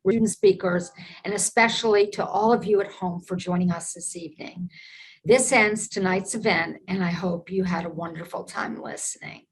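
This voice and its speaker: A woman hosting a virtual event on a video call, reading measured, gracious emcee remarks. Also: medium pitch, slightly rough, poor audio. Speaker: event host. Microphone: video call mic.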